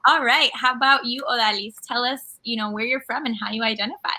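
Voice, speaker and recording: A Colombian-born woman leads a casual panel conversation, tossing out quick, upbeat affirmations between her questions. Her voice sits a little high and rings bright and forward. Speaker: host.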